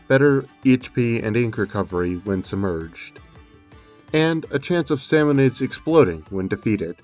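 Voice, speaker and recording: A man's voice: on the low side, dull audio. A man delivering scripted video game analysis commentary with informal, conversational asides. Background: music under the voice.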